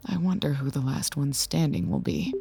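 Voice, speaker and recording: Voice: mid-range voice, very animated, moderate pace, gravelly, background noise. An actor in an audio drama playing a weary, guilt-laden scientist and acting site director, dry and resigned. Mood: bleak, resigned.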